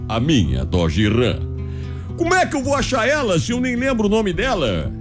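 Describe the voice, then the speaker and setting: A man's voice: sits high, huge pitch swings, normal speed. A man voicing a rustic old gaucho cowboy singer with a southern Brazilian gaucho accent, courtly and declamatory in a comic radio sketch. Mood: boastful folksy. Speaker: recurring comic character. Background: guitar music.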